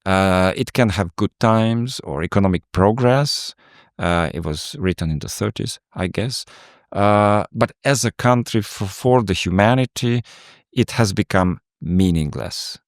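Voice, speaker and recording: Low-voiced Hungarian man, halting. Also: dry recording, clean studio sound. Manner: halting, with many fillers. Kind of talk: panel discussion.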